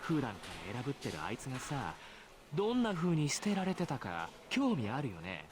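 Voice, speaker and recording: A man's voice: medium pitch, wildly swooping pitch, racing, slight background noise. A man voicing a smug, sneering teenage schoolboy in a visual novel, with a mocking, flippant, theatrical delivery. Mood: smug mockery. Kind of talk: Japanese visual novel voice acting.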